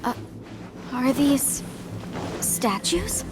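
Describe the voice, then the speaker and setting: High-voiced, earnest young archaeologist. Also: female voice, big pitch swings, drawn-out words, over music, full character voice. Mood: awed. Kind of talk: video game voice acting.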